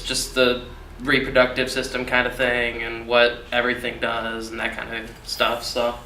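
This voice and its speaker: A young man, nineteen, stumbles through his answers with lots of fillers and restarts. His voice is bright and keeps to a flat, narrow pitch. He sounds set back from the mic in an echoey room with faint noise. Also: distant mic. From Michigan, USA. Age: young adult. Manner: halting, many fillers and restarts. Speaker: college student interviewee. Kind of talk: casual interview answers.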